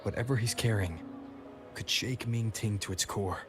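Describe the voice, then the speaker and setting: A man's voice: on the low side, normal speed, lightly acted. A man playing a guarded, suspicious video game character, speaking in a serious, terse manner. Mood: suspicious, grave. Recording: music behind the voice.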